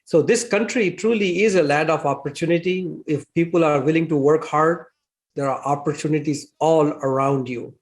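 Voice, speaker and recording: A man's voice: a little high, normal speed. A man in his sixties from India, telling his life story as an interview guest in a chatty way, with many fillers. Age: 60s. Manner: warm, chatty, with frequent fillers and laughs.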